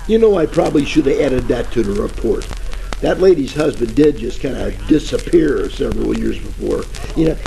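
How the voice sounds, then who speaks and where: An actor playing a bumbling, boastful private detective in a parody of 1940s radio drama, telling rambling stories in hammy slang. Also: male voice, medium pitch, creaky, mellow, poor audio. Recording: old-time radio with music behind.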